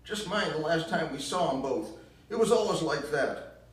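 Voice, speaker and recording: A man putting on a shrill, furious mother. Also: sing-song, unhurried, exaggerated voice. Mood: bitter. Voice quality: loud and shrill, spitting scorn. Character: bitter grieving southern mother, middle-aged woman. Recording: echoey room, mic far off.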